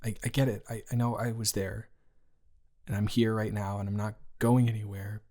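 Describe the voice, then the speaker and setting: A man playing a young best friend, indignant yet gentle, in an improvised intimate audio roleplay with halting, emotional, natural speech. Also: fairly low pitch, flat delivery, close to an everyday voice. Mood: gentle, reassuring.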